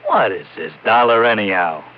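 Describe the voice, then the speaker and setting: An actor playing a slangy, defensive small-time American ex-mob bookie in a vintage radio crime drama. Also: male voice, lowish voice, animated, moderate pace, slight background noise, natural voice. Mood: agitated, defensive. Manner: slangy gangster talk, stammering, protesting. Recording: muffled old radio recording.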